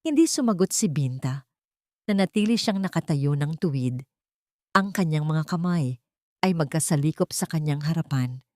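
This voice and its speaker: A woman narrates a scripted love drama. Her voice is low-pitched and the recording is very dry and close, with a dramatic storytelling delivery. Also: animated, normal speed, dark tone, dry recording.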